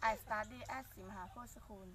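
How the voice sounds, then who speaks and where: A woman's voice: medium pitch, fairly even intonation, very fast, crowd noise. A teenage girl, a student, reading basic English answers one number at a time by park swings. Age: teens. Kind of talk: scripted classroom English dialogue.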